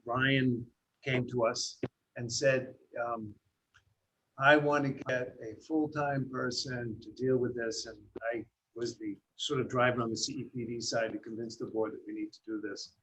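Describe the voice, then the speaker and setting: An American man from Massachusetts chairing a board meeting, explaining things informally in a halting, restarting way. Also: medium pitch, fairly even intonation, a bit bright. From Massachusetts, USA. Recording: muffled, lo-fi meeting audio with noise.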